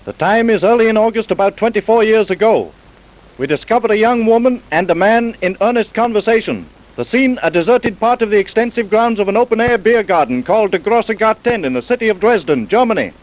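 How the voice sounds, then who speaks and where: A man's voice: sits high, animated, brisk, rough recording. A man narrating a vintage radio crime drama in a grave, dramatic, scripted style. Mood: grave, storytelling.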